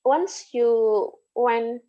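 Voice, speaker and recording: Woman lecturer, Indonesian accent, Sundanese native. Unhurried, hesitant interview answers, slightly dull recording. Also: fairly high pitch, warm tone. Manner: hesitant, halting, repeats words. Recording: dull, lo-fi audio.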